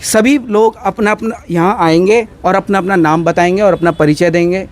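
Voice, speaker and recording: High-voiced man giving a rallying speech. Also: fairly even intonation, normal speed. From Uttarakhand, India. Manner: emphatic, insistent, repeats phrases. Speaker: union organiser addressing members. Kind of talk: impromptu rallying speech to a group.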